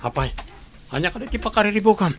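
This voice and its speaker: Man acting a higher-voiced persistent young Ilocano. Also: lively intonation, fast, fairly bright tone, a little hiss or hum. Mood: concerned, insistent. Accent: Filipino, Ilocano. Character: persistent, concerned young man named Marlon. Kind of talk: Ilocano radio drama acting.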